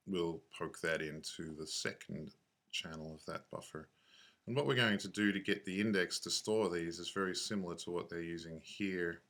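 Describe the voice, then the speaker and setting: A man giving a casual software tutorial, thinking aloud in a laid-back, offhand, slangy way. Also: sits low.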